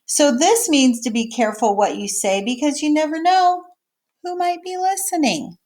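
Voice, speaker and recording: A woman's voice: high-pitched, normal speed, a little mellow. Woman reading aloud and explaining to children. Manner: explains simply, checking in with okay and all right. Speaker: teacher reading a picture book aloud.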